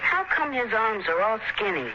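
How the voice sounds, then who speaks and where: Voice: medium pitch, very animated, moderate pace, natural voice. A performer playing a curious, brash frontier boy with blunt, folksy speech in 1950s radio drama style. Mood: curious, defiant. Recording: muffled, noisy old radio recording.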